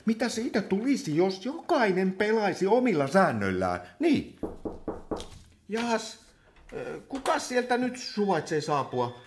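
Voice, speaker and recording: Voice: mid-range voice, big pitch swings, noisy background, lightly acted. An actor in a comic radio play playing an exasperated meeting chairperson who scolds delegates like a hesitant nursery teacher. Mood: exasperated scolding.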